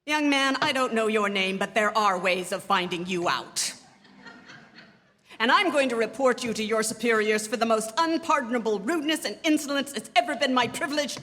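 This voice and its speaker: High, bright female voice actress as a panicked, imperious wife, radio thriller, reverberant noisy stage. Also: moderate pace, natural voice. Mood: indignant, imperious. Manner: imperious, breathless exclamations, frantic repetition. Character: nervous, demanding married woman, panicked and imperious. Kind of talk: theatrical radio suspense acting. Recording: live theatre stage, long reverb, noisy.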